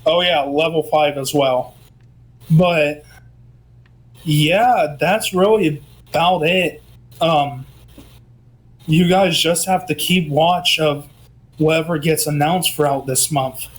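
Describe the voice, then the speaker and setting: A man co-hosting a casual gaming news chat, who says he is losing his voice and talks in an unhurried way with frequent ums. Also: fairly high pitch, fairly even intonation. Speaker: co-host, gaming news presenter.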